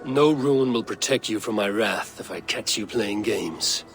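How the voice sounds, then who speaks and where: An actor playing a wrathful Norse god in a video game, speaking in an imperious, suspicious, declamatory way. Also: male voice, fairly low pitch, unhurried, soft background music, lightly acted. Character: wrathful, commanding Norse god, male wanderer and warrior. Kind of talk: video game cutscene acting.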